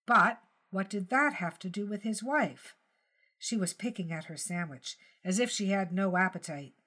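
Woman reading a short story, audiobook narration, medium pitched voice. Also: normal speed, sharp tone. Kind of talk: scripted fiction narration.

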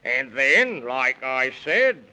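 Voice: medium pitch, big pitch swings, slow, pushed and bright, full character voice, poor audio. A man playing a jolly, resourceful tusked animal in a 1930s children's radio serial, hearty and slangy in rhyme. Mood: instructing.

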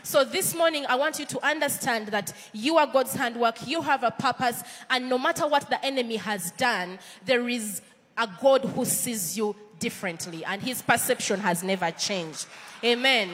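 A woman's voice: on the high side, normal speed, a bit bright, big-room sound. A woman with a Ugandan accent preaching a sermon in church, earnest and conversational, with frequent fillers and restarts.

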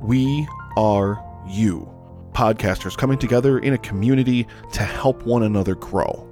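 A man reading a scripted community promo in a slow, earnest way. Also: lowish voice, warm tone, soft background music. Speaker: promo announcer.

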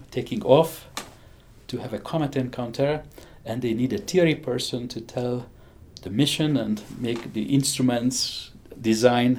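A man's voice: medium pitch, darkish tone, a little hiss or hum. A man in his sixties with a Hungarian accent, talking enthusiastically in an interview, chuckling often and restarting his sentences. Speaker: physicist interviewee. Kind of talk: conversational interview.

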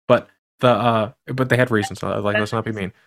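A man's voice: fairly low pitch, steady tone, fairly quick, a bit bright. A man hosting a podcast, asking rambling questions full of restarts and fillers. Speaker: podcast host. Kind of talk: casual interview chat.

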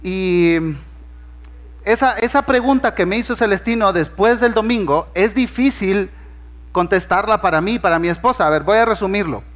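A man's voice: sits high, normal speed, fairly bright tone, in a large hall. A man with a Honduran Spanish accent preaching a sermon as pastor, conversational and humorous, acting out bits of dialogue in his stories. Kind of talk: sermon with storytelling.

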